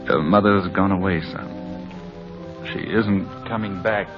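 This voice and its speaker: A man's voice: low voice, steady tone, unhurried, over music. An actor playing a troubled, tender father in an old-time radio drama. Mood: grieving. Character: troubled, tender father abandoned by his wife. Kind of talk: 1940s-style radio drama acting. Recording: dull, noisy old radio recording.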